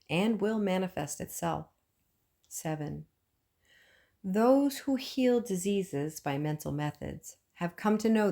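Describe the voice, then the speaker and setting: Low-voiced woman reading an audiobook. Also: a little mellow. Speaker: audiobook reader. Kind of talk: scripted narration, reading a book aloud.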